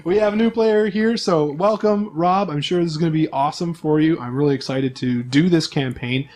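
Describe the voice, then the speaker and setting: A man's voice: a little high, brisk, a little mellow. A man speaking as host and game master, explaining things to players and listeners in a chatty, casual way.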